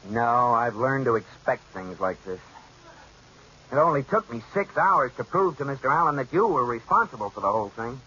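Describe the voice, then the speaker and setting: A long-suffering banker husband with a bright, animated voice that jumps around in exasperation. He is straight out of a 1940s radio comedy. The sound is dull and lo-fi with faint hiss. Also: mid-range voice, moderate pace. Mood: weary. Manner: exasperated, sputtering, lively delivery. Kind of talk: 1940s radio sitcom acting. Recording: faintly hissy dull old radio broadcast.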